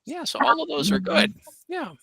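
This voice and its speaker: Male ESL teacher, lively, high, bright; patient, models phrases slowly. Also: gravelly, a crowd in the background. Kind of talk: encouraging classroom instruction.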